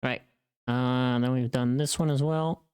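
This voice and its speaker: Casting: live streamer, medium-pitched voice, offhand working chatter, murmured asides and repeated words. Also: steady tone, measured pace, darkish tone, clear, resonant tone. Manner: muttering to self, repeating words. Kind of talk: casual live stream chat while working.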